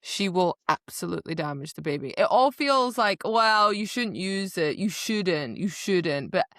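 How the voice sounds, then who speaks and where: A woman with a slightly low voice chats casually as a podcast co-host. She retells book scenes conversationally and slips into little quoted exchanges. The sound is close and dry. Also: lively intonation, unhurried, a bit bright, dry recording. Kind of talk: casual book discussion chat.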